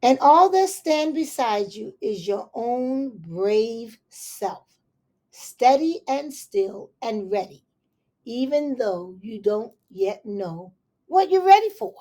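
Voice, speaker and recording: Slow, gentle storytime teacher, woman. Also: fairly high pitch. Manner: slow, expressive storytime reading with soft asides to listeners. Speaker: elementary school teacher. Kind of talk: reading a picture book aloud to young children.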